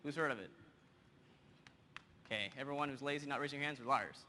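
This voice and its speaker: Male presenter, 30s, bright, punchy stage voice, lively demo delivery, big hall with long reverb. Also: mid-range voice. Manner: energetic, quick and joking with the audience. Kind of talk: live software demo on stage. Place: large conference hall stage. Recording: hall reverb, faint noise.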